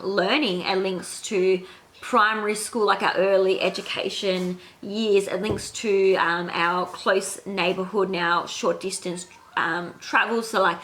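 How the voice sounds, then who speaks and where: A woman's voice: medium pitch, a bit bright, rough recording. A woman in her thirties with a cold that makes her sound nasal, casually explaining an astrology reading with many fillers. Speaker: astrology vlogger. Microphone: handheld camera.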